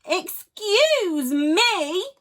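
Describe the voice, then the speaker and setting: Woman playing an eager, high-voiced storybook hippo lady. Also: sing-song, extremely slow, fairly bright tone, exaggerated voice. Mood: apologetic, hopeful. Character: eager female hippo, cheerful big dreamer, polite.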